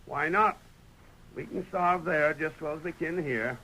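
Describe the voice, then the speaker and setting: An actor playing a grumpy, proud old prospector with a rustic Old West accent in a 1930s radio western. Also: male voice, on the high side, moderate pace, pushed and bright. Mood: suspicious, curious. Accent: rustic Old West American. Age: older. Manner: grumbling, folksy. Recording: noisy 1930s radio broadcast.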